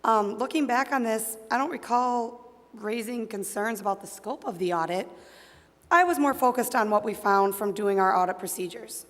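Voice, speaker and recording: A woman's voice: a little high, normal speed, lightly acted. A woman playing a composed audit partner testifying in an amateur stage dramatization, matter-of-fact and careful. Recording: echoey hall, long reverb.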